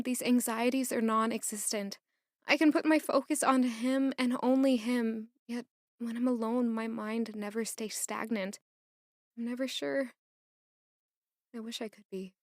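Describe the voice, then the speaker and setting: An actress plays a young maid in a fantasy audio drama, anxious and smitten. Her voice is high and recorded close and dry. She speaks earnestly, with hesitation and self-doubt. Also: fairly even intonation, measured pace, long pauses, no echo. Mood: wistful, introspective. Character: young palace maid, lovestruck, unsure, anxious woman.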